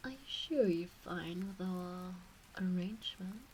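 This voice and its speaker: Slow, whispery teasing fox witch, played by a woman. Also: lowish voice, slight background noise, close to an everyday voice, low-quality recording. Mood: teasing, doting. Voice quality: breathy, soft, often slipping into whisper. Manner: slow, coaxing, teasing baby-talk. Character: teasing, doting kitsune fox witch fussing over her pet. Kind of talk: ASMR roleplay, whispery and intimate. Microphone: close ASMR microphone.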